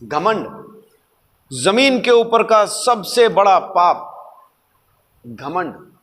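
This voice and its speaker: A man's voice: high voice, big pitch swings, extremely slow, a bit bright. A man preaching a sermon in an emphatic, teaching manner, using rhetorical questions and repeating key words. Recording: long hall reverb.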